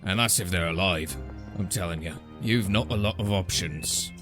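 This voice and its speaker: A man voicing a grumpy, wry old dwarf with a gravelly voice for a fantasy audiobook, over music. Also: deep voice, moderate pace, natural voice. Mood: grumpy, dry. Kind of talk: fantasy audiobook character acting. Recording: dramatic music behind the voice.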